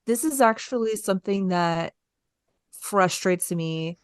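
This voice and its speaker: A woman's voice: mid-range voice, slow, smooth, no echo. Chatty woman, dry close sound. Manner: chatty, enthusiastic, rambling. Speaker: podcast co-host. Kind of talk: casual conversation.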